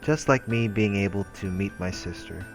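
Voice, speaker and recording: A man's voice: lowish voice, moderate pace, soft background music. A man reading visual novel text aloud as a let's play host, in a casual way with offhand asides.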